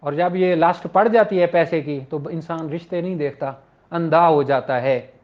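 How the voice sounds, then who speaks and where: Male law lecturer, Pakistani Punjabi accent, high-pitched, conversational and explanatory, dull recording. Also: moderate pace. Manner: conversational, poses rhetorical questions, switches into English legal terms. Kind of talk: informal explanatory lecture with examples, reading out statute slowly.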